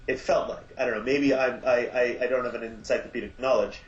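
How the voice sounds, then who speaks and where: A man's voice: medium pitch, steady tone, quick pace, muffled recording, over a noisy crowd. A man chatting casually on a podcast, musing at length with many restarts and fillers. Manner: thoughtful, rambling with many restarts. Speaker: podcast guest. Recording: roomy home podcast audio.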